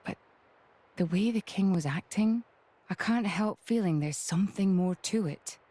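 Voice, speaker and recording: An actress playing a shrewd, composed military noblewoman in a video game, measured and formal. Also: medium pitch, mellow, close to an everyday voice. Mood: pensive.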